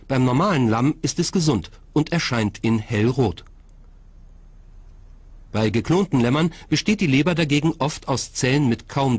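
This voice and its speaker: A man's voice: medium pitch, slightly rough, no room sound. A man reading scripted documentary narration as the narrator.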